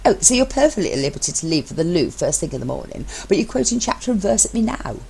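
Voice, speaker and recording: A woman voicing a bossy, sardonic husband in an amateur story reading, speaking with emphatic, deliberate sarcasm. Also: lowish voice, big pitch swings, creaky, a little mellow, slight background noise, voice pushed up.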